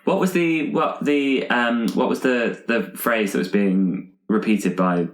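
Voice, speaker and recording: A man's voice: medium pitch, slow pace. A man chatting casually as a player in a tabletop game, in an echoing room. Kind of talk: casual improvised game chat. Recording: roomy, echoing sound.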